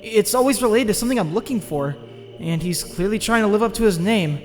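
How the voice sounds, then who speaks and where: An earnest, nerdy teenage boy acted by a man speaks in a high, animated voice. He sounds anxious and expressive, telling his story in a dubbed comic, with long reverb around him and music underneath. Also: fast. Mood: thoughtful, uneasy. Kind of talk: dramatized comic dub, dialogue and first-person narration.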